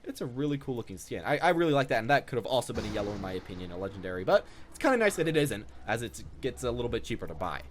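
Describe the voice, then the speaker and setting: Young guy chatting casually about a video game, talks quick, pitch bouncing around, bit of noise behind him. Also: sing-song. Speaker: gaming video creator. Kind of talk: casual off-the-cuff game showcase commentary. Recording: noticeable background noise.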